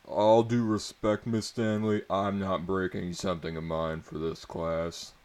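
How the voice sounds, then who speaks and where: Man putting on a loud, cocky teenage boy. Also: low voice, level tone, unhurried. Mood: defiant. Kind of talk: dramatic reading of a story.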